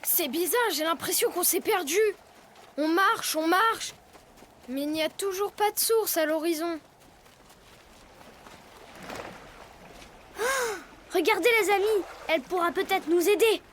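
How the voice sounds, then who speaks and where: A woman's voice: extremely high pitch, moderate pace, a bit bright, slight background noise. A woman voicing a kind, brave young male leopard cub in a cartoon dub, polite and eager. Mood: puzzled, polite. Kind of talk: cartoon dubbing.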